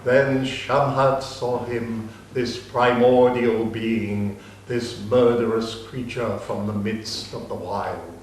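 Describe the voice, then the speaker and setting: A man tells an ancient tale in a slow, measured storyteller's voice. The mic sits at a distance in a very echoey room, with a faint hiss behind him. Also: medium pitch, fairly even intonation. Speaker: narrator. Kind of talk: dramatic reading of an epic.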